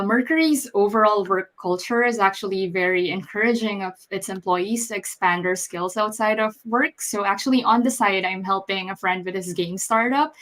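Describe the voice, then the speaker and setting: A woman from the Philippines, a front-end software engineer, giving an upbeat, chatty self-introduction at an online panel. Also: mid-range voice.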